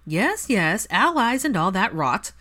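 A woman's voice: mid-range voice, sing-song, unhurried, pushed and bright, voice pushed up, full character voice. A woman voicing a nosy young woman friend in an audiobook, with a pointed, mocking, impatient manner. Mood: teasing.

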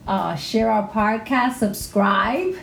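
A woman co-hosting a podcast, chatting casually with quick playful interjections. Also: mid-range voice, gravelly, echoey room, slight background noise. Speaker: podcast co-host. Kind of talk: casual chat.

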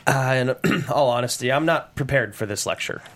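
A man playing a nerdy, well-meaning father and poet with an earnest, halting delivery in a comedy table read. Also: medium pitch, fairly bright tone, lightly acted. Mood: awkward, earnest.

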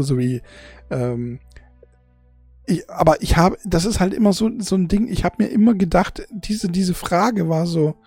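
Husky, creaky voice of a forty-year-old. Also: mid-range voice, a little mellow, no echo. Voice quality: husky, gravelly. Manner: halting, repetitive, searching for words; emotional, blunt, swears casually. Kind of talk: unscripted casual monologue to an audience.

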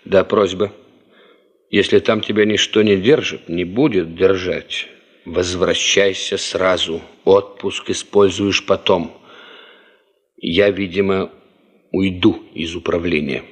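An actor playing a sober, considerate senior colleague in a radio drama, restrained and matter-of-fact. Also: male voice, low voice, slow, slightly rough, long reverb tail, heavily acted. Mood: practical, sympathetic. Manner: restrained, practical, steady. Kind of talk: Soviet radio drama acting.

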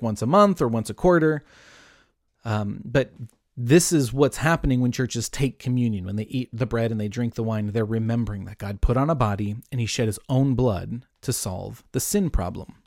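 A man's voice: medium pitch, normal speed, a little mellow, no room sound, clean studio sound. A young adult man giving a casual Bible lesson, chatty and full of asides. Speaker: Bible teacher.

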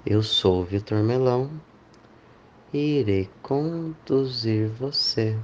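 Very slow man guiding meditation. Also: medium pitch, highs cut off, slight background noise. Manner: slow, deliberate phrases with long pauses. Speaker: meditation guide. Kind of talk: guided meditation. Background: clear background music.

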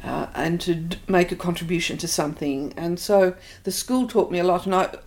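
An older woman with an Australian accent, thoughtfully recalling her life in an interview, pausing often. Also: lowish voice, darkish tone. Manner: reflective, hesitant with many fillers. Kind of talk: oral history interview.

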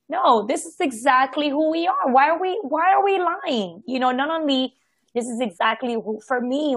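Woman, from Haiti, husky voice, casual personal storytelling, halting with asides, close dry sound. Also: on the high side, no echo. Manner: halting, circling back with repeated asides and self-corrections. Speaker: participant sharing personal experience in a group discussion. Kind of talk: casual reflective personal account.

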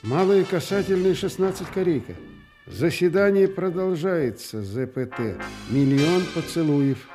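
A man's voice: a little high, lively intonation, measured pace, music in the background. An actor playing a man who declaims telegrams in a satirical radio play, emphatic and theatrical. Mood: pompous, emphatic.

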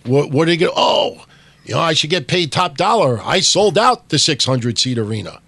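A man hosting a wrestling podcast, his fairly high voice a little hoarse from days of talking. He talks in an emphatic, quick way and jumps in over his co-host, and the close mic leaves the sound dry. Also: sing-song, sharp tone, no echo. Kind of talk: casual wrestling podcast chat.

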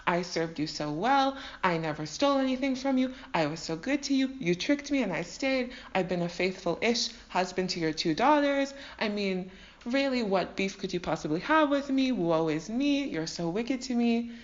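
A woman's voice: mid-range voice, expressive, moderate pace, muffled recording, close to an everyday voice. A woman voicing a self-pitying, accusing son-in-law with theatrical, aggrieved emphasis in a comic story. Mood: aggrieved, melodramatic. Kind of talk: comedic storytelling dialogue.

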